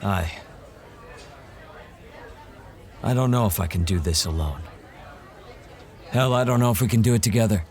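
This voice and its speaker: A man's voice: fairly low pitch, brisk, a little mellow, some chatter behind, natural voice. An actor playing a hardened male monster hunter in an audio drama, blunt and earnest. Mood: resolute.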